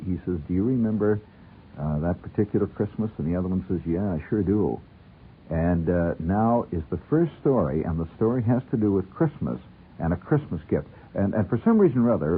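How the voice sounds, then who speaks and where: Deep husky American radio storyteller, muffled broadcast. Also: male voice. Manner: wry, theatrical, savoring ornate wording. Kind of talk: conversational radio storytelling, reading own humorous story. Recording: muffled narrow-band old radio broadcast.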